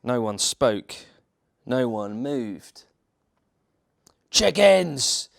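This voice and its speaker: Slow, animated male storyteller. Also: medium pitch. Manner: theatrical, dramatic pauses and emphasis. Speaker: church minister reading a story. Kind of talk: children's bedtime storytelling.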